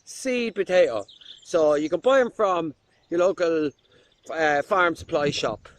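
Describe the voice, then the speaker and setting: A man's voice: on the high side, a little slow. A man giving playful, exaggerated comic instructions in a video. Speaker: comedy performer.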